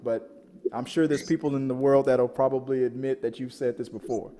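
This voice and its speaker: A man hosting a casual pop-culture show, telling stories in a rambling, animated way. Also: mid-range voice, a little fast. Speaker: podcast host. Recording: reverberant, echoing room sound.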